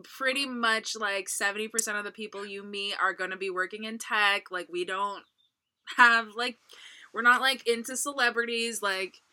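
Bright bubbly Californian woman host. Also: medium pitch, fairly even intonation, normal speed. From California, USA. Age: young adult. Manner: bubbly, laughs often, fillers of "like". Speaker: podcast host. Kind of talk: casual chat.

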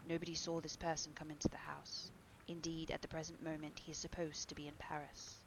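A woman voicing a desperate young man who whispers an urgent dark confession. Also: low-pitched, flat delivery, a little fast, heavy background noise.

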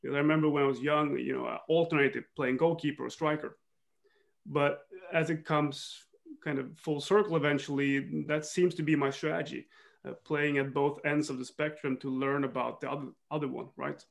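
A man's voice: a little high, level tone, moderate pace, creaky. A man from northern Sweden, talking thoughtfully as an interview guest, with many restarts and fillers. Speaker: guest, football coach. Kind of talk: reflective interview talk.

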